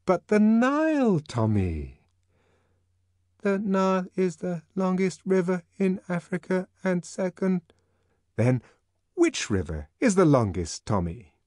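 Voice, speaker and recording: A woman's voice: fairly low pitch, wildly swooping pitch, slow, mellow, no echo, studio quality, exaggerated voice. A woman playing an insistent instructor who recites and quizzes a child in an acted dialogue. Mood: insistent, reciting.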